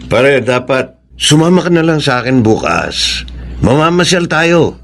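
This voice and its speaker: A jovial Filipino workmate in a radio drama. He coaxes and jokes with big swings in a medium-pitched voice, over faint noise. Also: sing-song, slow pace, a bit bright, exaggerated voice. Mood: jovial, coaxing. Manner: joking, persuasive. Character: jovial, teasing buddy, a worker abroad tempting his friend.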